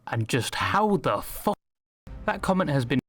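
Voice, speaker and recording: A man's voice: fairly high pitch, sing-song, measured pace, a bit bright. A man delivering scripted satirical narration in a sarcastic, crude, hyperbolic way. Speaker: video essay narrator. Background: background music.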